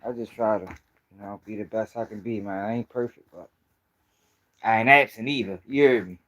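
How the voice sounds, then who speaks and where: A man's voice: fairly low pitch, moderate pace, a bit bright. Profane Baltimore man chatting loosely. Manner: loose, profane street slang, talking to viewers while handling dogs. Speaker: host of a commentary channel. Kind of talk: casual livestream chat.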